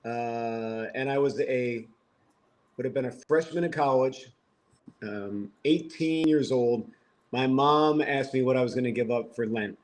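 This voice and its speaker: A man's voice: mid-range voice, unhurried, a little mellow. A man in his fifties from the Philadelphia area, a podcast guest telling stories with wry, self-mocking humor. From Philadelphia area, USA. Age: 50s. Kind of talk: casual podcast conversation.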